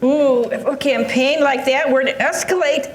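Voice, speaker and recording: Echoey room, faint noise, lady playing agreeable older wife in a stage play, high voice. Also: steady tone, moderate pace, close to an everyday voice. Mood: speculative. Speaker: amateur readers theatre performer.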